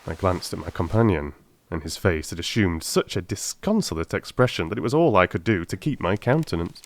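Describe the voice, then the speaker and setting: A man narrating an audiobook in a dramatic, measured, literary storytelling manner. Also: low voice, lively intonation, dry recording.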